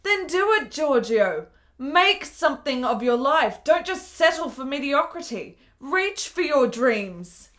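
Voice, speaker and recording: A woman's voice: high voice, sing-song, slow pace, few pauses, a bit bright, heavily acted. An actress playing a frail, ailing woman in her twenties in a period radio drama, earnest and frank with formal, precise phrasing. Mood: urging.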